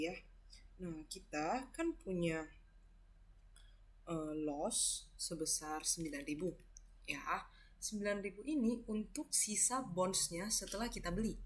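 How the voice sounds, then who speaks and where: Husky low-voiced young Indonesian woman tutor. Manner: conversational, asks and answers own questions, frequent tag words. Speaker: accounting tutor. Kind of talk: step-by-step tutorial explanation.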